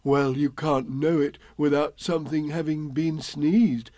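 A man voicing a simple, humble bear in a children's storybook, with a plaintive, gentle manner. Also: on the high side, fairly even intonation, no room sound, natural voice. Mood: insistent. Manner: plaintive, gentle, slightly slow-witted.

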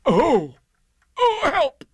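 A man voicing a simple, hungry, hapless toy bear in a children's story, slow, hesitant and plaintive. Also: medium pitch, lively intonation, darkish tone, dry recording. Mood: distressed, wailing.

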